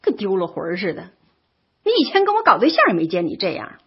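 Lively, grumbling Northeastern Chinese wife. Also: female voice, medium pitch, quick pace, husky, exaggerated voice. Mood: exasperated, caring. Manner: grumbling, teasing, fussing. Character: practical, nagging but caring working-class wife. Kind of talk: Chinese radio drama acting. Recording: dull lo-fi audio, faint noise.

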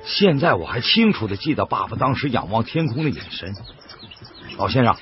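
A man's voice: mid-range voice, big pitch swings, brisk, lightly acted. An actor playing an earnest, good-hearted middle-aged boatman with a folksy, chatty manner in a radio drama. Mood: wistful. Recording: muffled lo-fi broadcast with music behind.

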